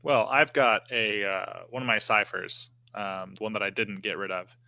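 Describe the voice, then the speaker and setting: Bright-voiced man chatting over a game. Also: on the low side, steady tone, normal speed, gravelly, dry recording. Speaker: tabletop game player. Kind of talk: casual chat.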